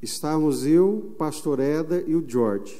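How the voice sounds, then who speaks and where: High-voiced Brazilian pastor preaching in a church hall. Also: male voice, dark tone. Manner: emphatic preaching with slow, drawn-out phrases. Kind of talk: sermon. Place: church sanctuary. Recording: long reverb of a church hall.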